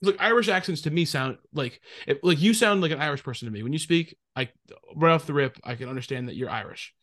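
A man's voice: on the high side, lively intonation, fast, a bit bright. A man with a New England accent, hosting a casual podcast chat and rambling through his thoughts. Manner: rambling, many fillers and restarts. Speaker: podcast host.

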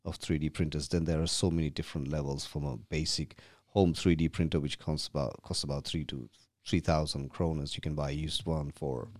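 Sri man, very deep voice. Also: fairly even intonation, brisk, few pauses, a little mellow, no echo, studio quality. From Sri Lanka. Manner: repeats and restarts words often, chatty. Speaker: podcast guest. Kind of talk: casual conversational explaining. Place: rented room.

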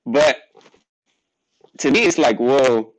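A man's voice: medium pitch, lively intonation, takes it slowly, no room sound, low-quality recording. A young man whose first language is Portuguese, a rapper talking casually as a guest, rambling and filling with "like" and "you know what I mean.". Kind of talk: casual interview chat.

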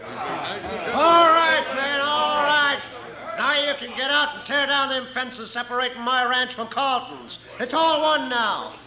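A hot-tempered western villain, a rancher with a very high-pitched male voice. He sneers and barks orders like a bully. It is an old radio drama recording, with a crowd behind him. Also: expressive, takes it slowly, noisy background, heavily acted. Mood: gloating, domineering.

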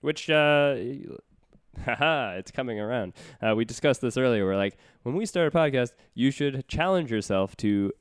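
A young man from Ontario, Canada, co-hosting a casual podcast, teasing his friend playfully and filling pauses with "uh". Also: mid-range voice, lively intonation, smooth, no room sound, clean studio sound. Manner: teasing, playful, hesitant fillers. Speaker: podcast co-host.

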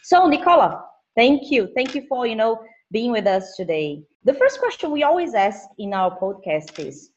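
A woman hosting a podcast interview from home over a call, talking in halting, restarting phrases. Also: a little high, big pitch swings, muffled recording. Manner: hesitant, restarts sentences. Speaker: podcast host, lecturer. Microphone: home setup over digital platform.

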